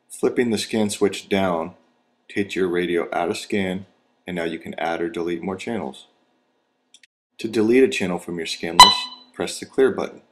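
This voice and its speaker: A man reading scripted instructional narration for a training video in a measured, step-by-step, plain manner. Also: deep voice, pushed and bright.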